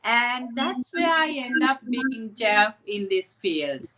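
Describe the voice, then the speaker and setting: A woman's voice: a little high, sing-song, slow, pushed and bright. A woman from Sri Lanka, a psychotherapist telling her story earnestly in an interview, often adding "right?". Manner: earnest, reflective, with frequent "right?" asides. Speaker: psychotherapist guest.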